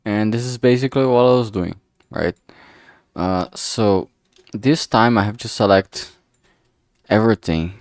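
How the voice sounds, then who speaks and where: The man you'd hear on a late art livestream, close and dry on the mic, murmuring his steps in a lowish voice as he draws. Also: a little mellow, dry recording. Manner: halting, self-talking, mutters to himself. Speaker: streamer making visual novel art. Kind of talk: casual thinking aloud while drawing on stream.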